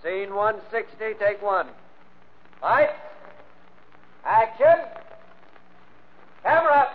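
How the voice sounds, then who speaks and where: Very high-pitched film-set crier. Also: male voice, animated, extremely slow, exaggerated voice. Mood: barking orders. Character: loud, officious film-set assistant calling orders. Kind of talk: 1940s radio drama acting. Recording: muffled old radio recording.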